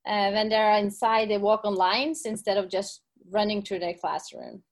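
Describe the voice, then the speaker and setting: A middle-aged woman with a Norwegian accent talks about her life in a relaxed interview. She speaks English as a second language and often stops on an "um" to find the right word. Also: medium pitch, normal speed. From Norway. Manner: searching for English words with fillers. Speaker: podcast guest, gym owner and coach. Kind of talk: casual interview.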